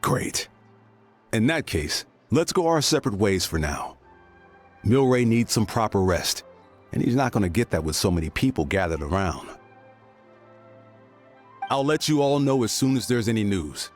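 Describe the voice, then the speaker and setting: Composed adult chief, fairly low voice with expressive swings, considerate, game music underneath. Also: male voice, moderate pace, pauses often. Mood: calm, concerned. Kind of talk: video game voice acting.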